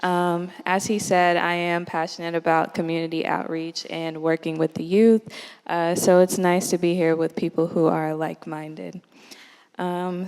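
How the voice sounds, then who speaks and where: A woman's voice: on the low side, darkish tone, clean tone, long reverb tail. A young American woman, a student giving a friendly, earnest presentation with slides at a forum. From United States. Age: young adult.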